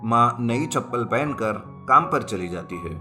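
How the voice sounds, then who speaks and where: A man reading a scripted folk tale as a storyteller, in narration for an animated story. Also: medium pitch, a little slow. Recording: music bed behind the voice. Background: background music.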